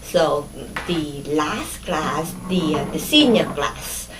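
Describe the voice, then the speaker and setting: A middle-aged woman from Vietnam with a Vietnamese accent, recalling her childhood in an oral history interview, pausing often with fillers and restarts. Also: deep voice, animated, echoey room, heavy background noise. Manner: hesitant, many fillers and restarts.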